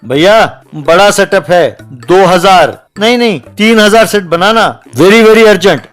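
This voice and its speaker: A man's voice: high voice, slow, a bit bright, soft background music. A man voicing a boastful, scheming copycat elder brother and village shopkeeper in a comic story, mocking and bragging. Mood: eager.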